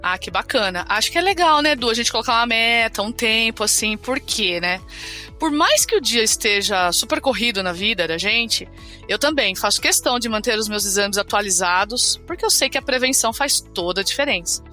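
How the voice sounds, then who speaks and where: A woman's voice: on the high side, lively intonation, a little fast, pushed and bright, faint music behind. A woman co-hosting a health podcast, chatty and upbeat, often tagging her remarks with a questioning aside. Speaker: podcast co-host. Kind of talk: casual health podcast chat.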